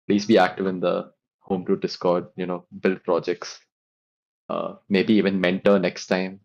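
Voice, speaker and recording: Low flat-voiced young man, lo-fi call. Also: vocal fry. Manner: rambling, thankful, many fillers. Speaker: club organizer closing a meeting. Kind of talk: casual closing remarks.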